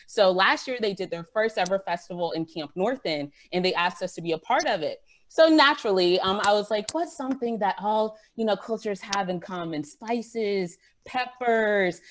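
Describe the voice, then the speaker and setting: An enthusiastic woman with a mid-range voice talks as a podcast guest on a panel. She is emphatic and engaged, punctuating her points with short checks. There is noise and clicking on the recording. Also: medium pitch. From West African immigrant family. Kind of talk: lively panel talk about events. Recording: clear noise with clicking sounds.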